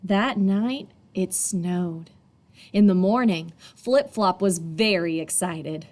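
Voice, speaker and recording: A woman reading a picture book aloud to children expressively, in a teacherly way, pausing for young listeners. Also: fairly low pitch, a little mellow.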